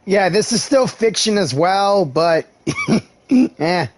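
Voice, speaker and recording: A man's voice: high-pitched, expressive, slow pace. A man streaming a video game, giving casual, offhand live commentary with playful interjections. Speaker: gaming streamer. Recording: game music behind the voice.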